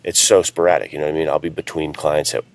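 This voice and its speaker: A man's voice: a bass voice, little pitch movement, quick pace. A man chatting casually as a podcast co-host and writer, giving practical advice in informal language.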